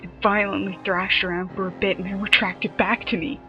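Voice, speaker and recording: A woman narrating a scripted horror story in a dramatic manner, her phrases often dropping into a breathy hush. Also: medium pitch, level tone, a little fast. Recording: muffled lo-fi home recording, music behind. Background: background music.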